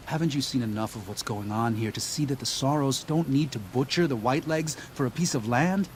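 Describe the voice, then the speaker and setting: An actor playing an earnest, weary pacifist missionary in a video game, moralising in a pleading manner. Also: male voice, medium pitch, a little hiss or hum, lightly acted.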